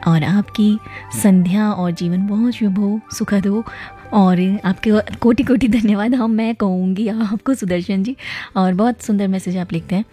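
A woman with a hoarse, mellow voice hosts a live message show, chatting directly to her listeners and reading their notes aloud. She keeps checking in with a friendly "hai na" as she talks. Faint music plays underneath. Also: medium pitch, fairly even intonation, a little slow. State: hoarse from a viral illness. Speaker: host of a message-based request show.

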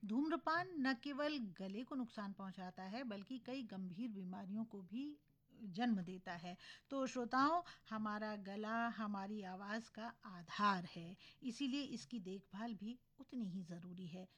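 A woman radio co-host with a sore, scratchy throat, explaining health matters in a relaxed conversational way. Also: mid-range voice, unhurried, lo-fi sound. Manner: explanatory, conversational, addresses listeners. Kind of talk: casual radio health chat.

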